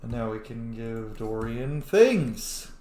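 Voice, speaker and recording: A man commentates casually on a video game in a lively, swooping voice. He jokes, swears and talks back at the screen. The recording is roomy, with faint noise behind him. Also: mid-range voice, slow pace. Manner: jokey, sweary, excitable banter at the screen. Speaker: game streamer, let's player.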